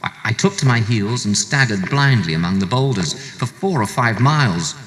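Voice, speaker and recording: A man's voice: lowish voice, expressive, normal speed, in a large hall, noisy background, voice pushed up, close to an everyday voice. A man voicing a shaken male professor who recalls his terror in an agitated, stammering, emphatic way, as in an audio storybook. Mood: terrified.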